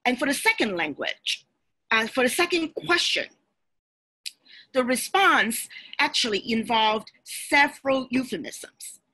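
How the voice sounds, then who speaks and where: Bright, husky woman lecturing on a video call. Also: high-pitched, measured pace, rough recording. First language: Cantonese. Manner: explanatory, steady, instructive. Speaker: lawyer and presenter. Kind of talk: webinar lecture. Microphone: video call webcam.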